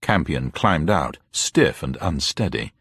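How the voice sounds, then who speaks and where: A man's voice: rumbling low, expressive, slow pace, creaky, fairly bright tone, husky, dry recording, clean studio sound. A man narrating a scripted mystery story in a dramatic style.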